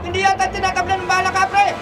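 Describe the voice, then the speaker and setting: A man's voice: very high voice, completely flat delivery, quick pace, very echoey, in a large hall, over music. An actor playing a frantic, panicking policeman in a horror radio drama. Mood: panicked. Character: panicking male policeman under attack.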